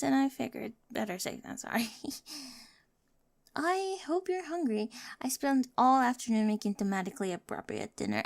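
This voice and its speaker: Woman doing a awkward eager girl with a crush, asmr roleplay, close dry mic, bit of vocal fry, rambles nervously. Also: a little high, dry recording. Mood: excited, playful. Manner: nervous rambling, stammering, self-deprecating. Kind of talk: ASMR roleplay acting, addressed to the listener. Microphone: close microphone, dry.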